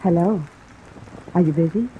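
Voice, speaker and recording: Actress as young small-town club singer, openly curious and friendly, period radio style, thin phone-like audio under rainfall. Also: female voice, lowish voice, moderate pace, close to an everyday voice. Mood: friendly, forward. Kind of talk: 1950s American radio drama acting. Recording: tinny vintage radio, steady rain noise.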